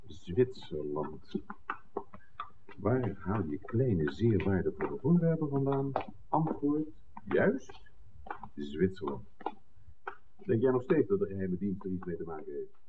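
Worldly wry friend, low voice, lively swooping intonation, bantering, principled, vintage radio play. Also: male voice, takes it slowly, pauses often, exaggerated voice. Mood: pressing. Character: worldly, principled man, teasing friend and colleague. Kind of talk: 1960s Dutch radio play acting. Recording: muffled, noisy old radio drama recording.

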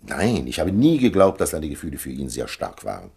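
An actor in a German radio drama playing a reserved, gallant older man, gravelly and husky, speaking in a measured, courteous way. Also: a bass voice, wildly swooping pitch, darkish tone, heavily acted. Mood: earnest, guarded.

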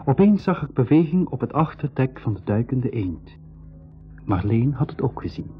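A man with a Flemish accent playing a lively, cheeky young boy who acts and narrates in a radio play. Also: medium pitch, normal speed, faint music behind, natural voice. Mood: tense storytelling. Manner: animated, exclamatory. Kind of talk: radio play acting and storytelling. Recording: muffled 1980s school radio recording.

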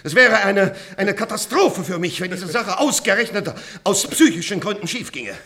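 A condescending psychologist-doctor in a science-fiction radio drama. His fairly high voice swings widely in pitch. He is impatient, mocking and full of bluster. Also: lively intonation, moderate pace, a bit bright. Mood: exasperated. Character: condescending, impatient male doctor, an irritable overseer of minds. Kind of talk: German science-fiction radio play acting.

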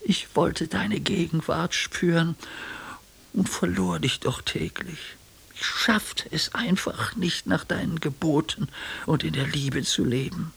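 An actor playing an anguished, guilt-ridden man praying near death in a radio play, slow and halting, with a husky, breathy voice. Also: a little high, a bit bright, full character voice. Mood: remorseful. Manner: slow, halting confession, often near whisper. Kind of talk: German radio play acting.

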